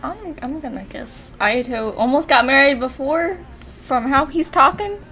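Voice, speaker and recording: A woman's voice: high-pitched, expressive, a little slow, pushed and bright, faint music behind. A woman giving casual livestream commentary as she plays a game. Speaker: game streamer.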